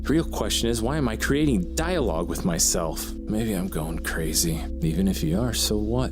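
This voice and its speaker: Guy acting as a desperate brooding writer, real low voice, wordy n theatrical, audio drama w music behind it. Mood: anxious, frantic. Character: desperate, self-tormented male crime writer talking to himself, turning sinister.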